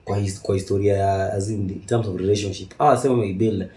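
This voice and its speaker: Young male, Kenyan accent, rapid casual debater, warm dark tone, echoey room. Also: lowish voice, fairly even intonation. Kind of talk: casual debate, switching between Swahili slang and English.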